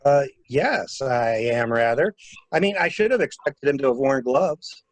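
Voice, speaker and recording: A man's voice: medium pitch, expressive, unhurried, lightly acted. A man playing a polite, evasive traveler with clipped, hesitant replies in a murder mystery reading. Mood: surprised, uneasy. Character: polite, halting stranded male traveler, evasive witness.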